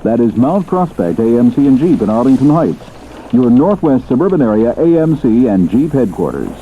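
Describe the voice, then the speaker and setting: A man's voice: mid-range voice, big pitch swings, moderate pace. A man announcing a local car advertisement in 1970s radio style. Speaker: commercial announcer. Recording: tinny, noisy 1970s radio broadcast.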